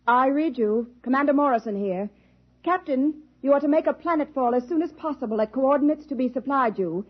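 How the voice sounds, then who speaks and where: A decisive space commander with a high voice and an undercurrent of private worry. She speaks in 1950s radio drama style on a slightly muffled vintage recording. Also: fairly quick, no echo. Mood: commanding, urgent. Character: authoritative female space expedition commander, decisive but privately anxious.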